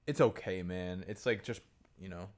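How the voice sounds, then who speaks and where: A man's voice: low voice, normal speed. A man co-hosting a podcast in casual chat, talking freely and swearing, often acting out what other people said. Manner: animated, acts out others' voices, swears. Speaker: podcast co-host.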